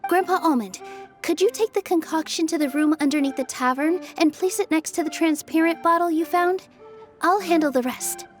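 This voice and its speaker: A gentle young dancer with a high, light voice who speaks softly and earnestly, full of encouragement. Faint game music hums beneath her lines. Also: moderate pace. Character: gentle hopeful young female dancer-mage. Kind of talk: video game cutscene dubbing.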